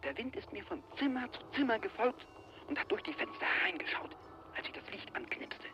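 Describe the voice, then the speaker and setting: A man's voice: high-pitched, big pitch swings, brisk, dull audio, very noisy. An actor in a radio play, playing a frightened, obsessed travel writer who believes he is being hunted, with an agitated, dramatic delivery. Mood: hushed fear. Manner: agitated, dramatic, swinging from hushed dread to frantic outbursts. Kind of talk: 1980s German radio play acting.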